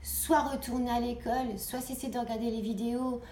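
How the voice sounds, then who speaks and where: A woman with a fairly high voice speaks as an online video host making an informal political appeal. She is earnest and exhorting, and repeats her phrases to drive them home. The sound is very roomy, with some background noise. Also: steady tone, brisk, mellow. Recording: echoey room, clear background noise.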